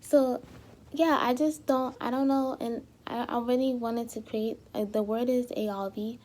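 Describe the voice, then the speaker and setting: Slightly hoarse young woman rambling casually. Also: a little high, steady tone, measured pace, warm tone. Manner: rambling, with many fillers and restarts. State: slightly hoarse, losing her voice. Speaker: vlogger. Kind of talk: casual talk to camera.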